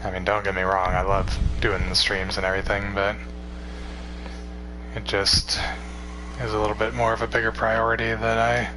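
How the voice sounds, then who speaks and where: Young male streamer, 21, tired, low even delivery, loose and rambling, noisy recording with a hum. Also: normal speed. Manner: rambling, relaxed, swears casually. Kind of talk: casual chat with stream viewers.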